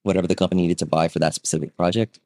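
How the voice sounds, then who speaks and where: Very deep-voiced chatty man. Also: fairly even intonation, racing, a little mellow, no echo, studio quality. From Vietnam. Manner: chatty storyteller, acts out quotes, many ums and rights. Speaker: guest, real estate agent. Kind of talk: casual podcast conversation.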